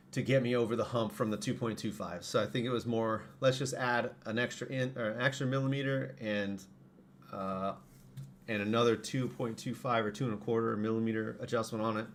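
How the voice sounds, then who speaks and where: A man with a hoarse voice, casually explaining his products on a live stream and correcting himself as he goes. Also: lowish voice, fairly even intonation, brisk, slight background noise. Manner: rambling, self-correcting. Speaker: streamer and saber parts maker.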